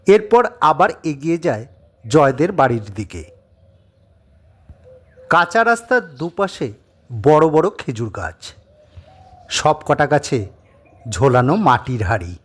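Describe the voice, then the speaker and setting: A man narrating a scripted dramatic story in an expressive manner. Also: mid-range voice, slow, pauses often.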